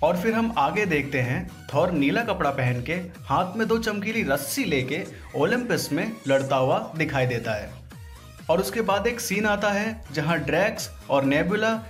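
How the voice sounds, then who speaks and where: A man's voice: sits high, lively intonation, moderate pace, a bit bright, soft background music. A man hosting a voice-over breakdown in an informal, slangy way, as commentary for viewers. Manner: informal, crude slangy joking. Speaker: video host.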